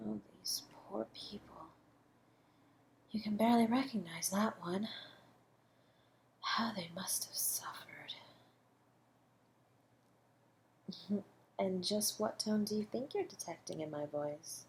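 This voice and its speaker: A suave, flirtatious female murder suspect played by a woman in an audio roleplay. She is mocking and condescending, stretching words theatrically and slipping into breathy asides as she toys with her listener. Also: mid-range voice, a little slow, pauses often, a little hiss or hum. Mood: mock pity, coy. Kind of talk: scripted audio roleplay monologue.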